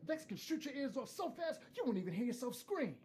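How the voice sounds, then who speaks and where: A woman's voice: sits high, sing-song, a little fast, warm tone, a little hiss or hum. An actress in a video game playing a boastful, theatrical woman with a mocking manner. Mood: cocky, taunting. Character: boastful female admirer taunting a brute enforcer.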